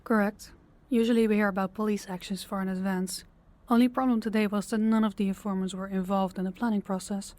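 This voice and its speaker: A woman's voice: medium pitch, fairly even intonation, fairly quick, darkish tone, lightly acted. A woman playing a composed, commanding crime boss in a scripted audio drama, businesslike and authoritative. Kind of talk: scripted audio roleplay acting.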